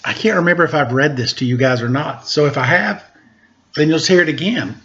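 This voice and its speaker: A man's voice: on the high side, a little fast, sharp tone. A middle-aged man chatting casually and reading stories aloud to children in a playful, jokey manner. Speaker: storytime host reading picture books. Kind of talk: casual chat and read-aloud storytelling to children. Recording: dull, lo-fi sound.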